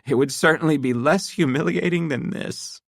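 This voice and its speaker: A man's voice: sits high, animated, normal speed, sharp tone, no room sound, clean studio sound, pitched above the performer's own voice. A man voicing a distraught, betrayed wife who accuses her husband in a shaking voice that rises to shrieks. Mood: tearful, bitter. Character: distraught betrayed middle-aged wife, shrill.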